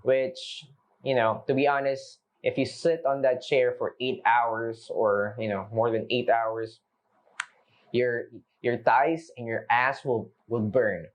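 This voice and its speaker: A man's voice: mid-range voice, fairly even intonation, slow pace. A young Filipino man, a native Filipino speaker, giving an unscripted casual product review, loose and chatty with lots of fillers as he talks to viewers. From Philippines. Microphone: camera mic.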